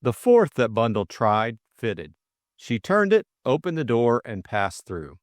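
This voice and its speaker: Animated man narrating an audiobook. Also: mid-range voice, a little slow, dry recording, studio quality. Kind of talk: scripted storybook narration.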